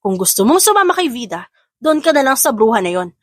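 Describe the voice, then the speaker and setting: Woman acting a defiant young mother, highish voice. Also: big pitch swings, brisk, no echo, clean studio sound. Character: hurt, defiant young mother confronting her lover. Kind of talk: scripted audio drama acting.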